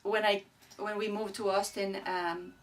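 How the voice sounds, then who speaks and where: Woman, Spanish speaker, halting and reflective, somewhat far from the mic, faint background noise. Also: mid-range voice, flat delivery. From Argentina. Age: middle-aged. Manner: thoughtful, halting, searching for words. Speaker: artist being interviewed. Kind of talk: reflective interview talk about own work. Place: indoors beside a displayed print.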